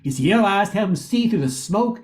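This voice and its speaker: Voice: medium pitch, expressive, a little fast. An older amateur narrator reading a scripted radio play, occasionally stumbling and repeating words.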